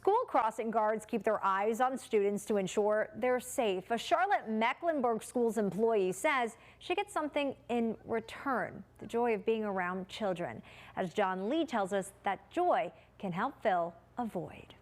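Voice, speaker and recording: A woman anchoring a TV news program with a smooth scripted delivery. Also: on the high side, expressive, unhurried, slight background noise.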